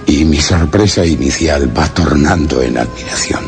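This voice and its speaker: A man's voice: rumbling low, expressive, moderate pace, vocal fry, music in the background, heavily acted. An actor playing a solemn, wondering explorer who narrates his journal in a radio drama, grave and theatrical. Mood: pondering, awed. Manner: grave, literary, theatrical, with rhetorical questions. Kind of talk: Spanish radio drama suspense monologue.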